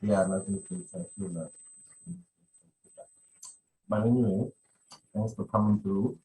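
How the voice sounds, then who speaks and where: A man's voice: on the low side, fairly even intonation, slow pace, a little mellow, a little hiss or hum, rough recording. A man hosting a podcast in casual conversation, rambling and often repeating his phrases. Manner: rambling, repeats phrases, many "you know". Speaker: podcast host.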